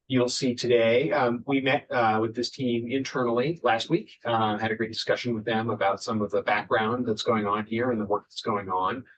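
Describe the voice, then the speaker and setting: A man chairing a town committee meeting, speaking with frequent fillers and restarts. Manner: halting, many fillers and restarts. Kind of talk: public meeting remarks. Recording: muffled meeting recording with faint rumble.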